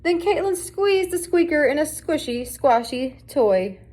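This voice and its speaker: A woman's voice: high-pitched, sing-song, measured pace, a little mellow. A woman reading a children's picture book aloud as scripted narration. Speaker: storybook reader.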